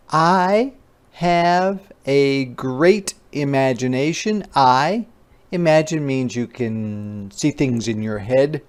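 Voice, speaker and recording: A teacher reading aloud to young children in an interactive phonics lesson, deliberately repeating sounds and words and adding simple explanations. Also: mid-range voice, darkish tone. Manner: child-directed explanatory asides.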